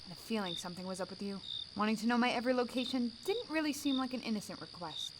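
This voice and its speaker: A woman's voice: a little high, expressive, rapid-fire, background noise, lightly acted. A woman playing a protective, defiant ship's captain in an audio drama, commanding and dry. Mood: bitter, defiant. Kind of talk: scripted audio drama acting.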